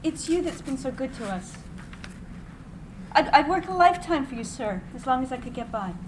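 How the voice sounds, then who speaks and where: A woman's voice: high voice, animated, moderate pace. A woman playing a devoted, tearful female employee in a live screenplay reading. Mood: tender, devoted. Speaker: actor. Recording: distant, noisy live room.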